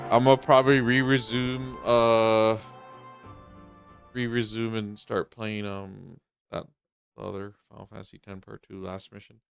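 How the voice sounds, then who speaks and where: A man's voice: on the low side, flat delivery, a little slow, vocal fry, clean tone. A man giving casual live-stream commentary as he plays a game. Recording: faint game music behind. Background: game music.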